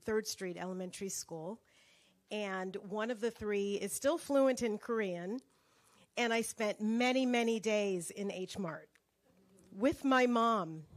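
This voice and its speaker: Slow, reflective woman telling her story. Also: mid-range voice. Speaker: book club participant. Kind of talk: personal story sharing.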